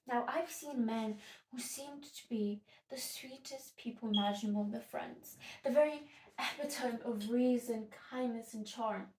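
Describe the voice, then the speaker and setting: A woman's voice: fairly high pitch, a little mellow, lightly acted. A woman playing an opinionated, indignant young woman in a classical stage monologue, dramatic, emphatic and sardonic. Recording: echoey room, distant microphone.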